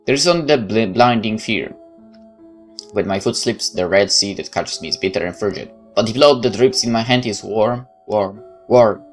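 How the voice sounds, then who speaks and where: A let's player with a medium-pitched voice reads a visual novel aloud over faint music. The delivery is casual and hesitant, often breaking off to think out loud about the story. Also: normal speed. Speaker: gaming video host. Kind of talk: casual let's play commentary, reading game text aloud. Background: game music.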